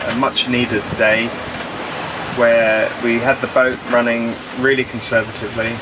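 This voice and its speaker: A man in his fifties giving a candid, relaxed update to a camera held at arm's length on a sailboat. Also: medium pitch, a little slow. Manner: relaxed, candid, laid-back. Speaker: sailing vlogger. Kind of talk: casual chat on camera. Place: outdoors on a sailboat cockpit. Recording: loud wind noise. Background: loud wind.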